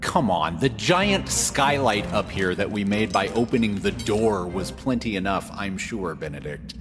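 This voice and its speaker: A man's voice: on the low side, animated, measured pace. An actor playing a mischievous, confident male adventurer in a fantasy audio drama, teasing and casual. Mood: casual confidence. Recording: long hall reverb over music.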